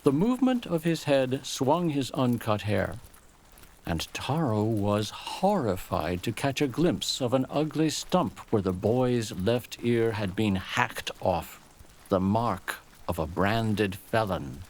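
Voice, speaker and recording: A man reading a scripted dramatic story as a storyteller, in a measured, literary manner. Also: fairly low pitch, big pitch swings.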